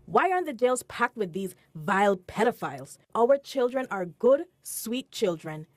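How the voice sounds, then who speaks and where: A woman's voice: fairly high pitch, very animated, faint music behind. Emphatic woman doctor in a reverberant hall. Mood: weary, indignant. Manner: emphatic, deliberate, repeating for emphasis. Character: earnest, weary woman doctor advocating for abused children. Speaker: doctor, conference speaker and radio host. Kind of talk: radio drama acting, public address.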